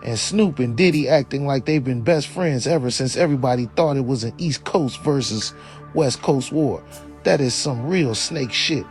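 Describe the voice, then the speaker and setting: A man's voice: mid-range voice, normal speed. Opinionated man narrating over faint music. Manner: opinionated, slangy, with profanity. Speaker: video commentator. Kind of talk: scripted true-crime commentary narration.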